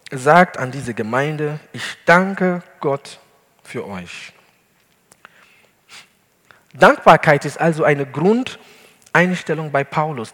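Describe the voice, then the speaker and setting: A male pastor, not a native German speaker, preaching an earnest sermon in a church hall with deliberate emphasis. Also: medium pitch, fairly bright tone. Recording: long hall reverb.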